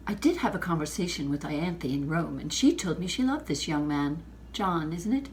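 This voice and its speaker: A woman playing a tactful, hospitable married lady of a vicarage in a dramatized novel reading, polite and measured. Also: sits low, animated, a little mellow, slight background noise.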